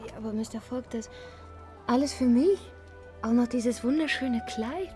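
An actress playing a gentle, admiring lady travel companion in a German audio drama, soft-spoken and polite. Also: a little high, moderate pace, faint music behind, close to an everyday voice. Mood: touched, flattered.

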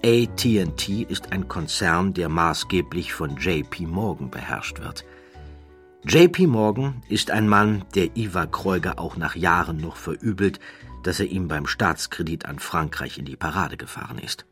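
A man's voice: low voice, lively intonation, a little slow, a bit bright, soft background music. A man narrating an audiobook, telling the story dramatically with rising and falling emphasis.

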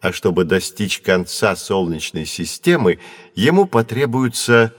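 A man playing a patient, learned astronomer who guides children in an educational audio drama, measured and didactic. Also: on the low side, sing-song, dry recording, clean studio sound. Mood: explaining. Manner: measured, didactic, clearly enunciated.

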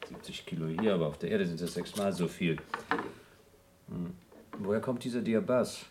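A man acting out a deep-voiced, pedantic astronaut who explains things in a dry, lecturing tone. The voice sits very low. A steady hiss of background noise runs under the old radio play recording. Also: normal speed, close to an everyday voice. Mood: calm.

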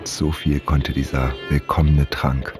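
An actor playing a brooding outlawed innkeeper turned murderer in a radio play, speaking in a grave, measured, reflective way. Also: male voice, extremely low pitch, level tone. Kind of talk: German radio play, first-person narration and dialogue. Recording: music clearly behind the voice.

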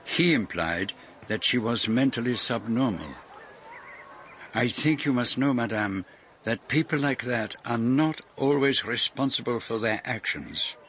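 Courteous foreign detective, formal probing delivery, radio mystery drama, faint background noise. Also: male voice, mid-range voice, expressive, measured pace, fairly bright tone. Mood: politely probing. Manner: formal, polite, probing questions. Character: courteous, probing male foreign detective, narrating his case. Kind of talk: radio drama acting and narration.

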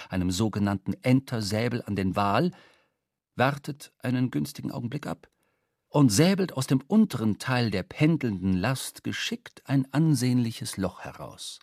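A man's voice: medium pitch, no echo, clean studio sound. A man narrating a literary radio drama with vivid, precise storytelling. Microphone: close studio microphone.

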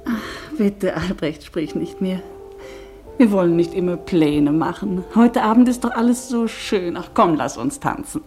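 A woman's voice: lowish voice, expressive, unhurried, darkish tone. An actress playing Ruth, a wistful German émigré wife in a radio play, with dance music behind her. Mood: teasing.

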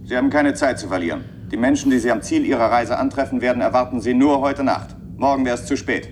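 A man's voice: medium pitch, quick pace, lightly acted. An actor playing an authoritative chairman in a science-fiction radio play, formal and commanding. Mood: firm, commanding. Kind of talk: German radio play acting. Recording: clearly noisy radio play recording.

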